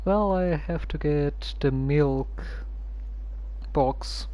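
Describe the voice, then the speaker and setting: A streamer casually commenting on a story game with a hesitant, musing, deadpan way of talking. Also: medium pitch, drawn-out words, muffled recording.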